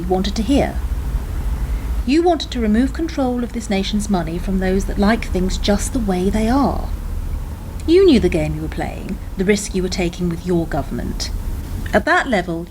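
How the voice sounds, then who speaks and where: A woman acting as a cool, probing security-service agent in a radio play, speaking in a measured, formal, interrogating way. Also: mid-range voice, lightly acted. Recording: noisy radio drama recording.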